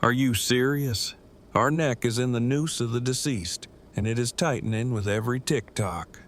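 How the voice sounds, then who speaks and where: A man's voice: medium pitch, moderate pace, slight background noise, natural voice. An actor playing an anxious, defensive man who talks in pedantic, stilted, overly formal phrases in an audio drama. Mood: tense, indignant.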